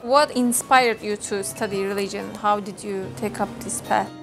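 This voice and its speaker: A woman's voice: mid-range voice, fairly even intonation, normal speed, creaky. Woman interviewer over music. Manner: brief, direct questions. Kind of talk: interview questions.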